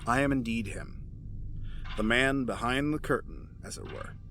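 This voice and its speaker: An actor in an audio drama playing a cold, courteous, calculating crime boss with formal, polished phrasing. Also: male voice, mid-range voice, moderate pace, fairly bright tone, slight background noise, lightly acted. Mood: calm, revealing. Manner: formal, urbane, elaborate wording.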